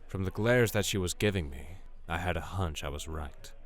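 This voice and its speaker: A man's voice: moderate pace, close to an everyday voice. A man playing a wry, century-old male vampire who talks conversationally in an audio drama. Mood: suspicious. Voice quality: low-pitched.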